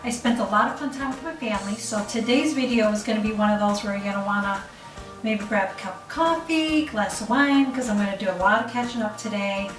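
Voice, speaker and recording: A woman's voice: medium pitch, brisk, recorded from a distance. Chatty middle-aged Midwestern woman, casual to-camera talk, roomy off-mic sound. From Chicago, US. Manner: chatty, rambling with fillers. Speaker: vlogger. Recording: roomy room, off the mic, faint music.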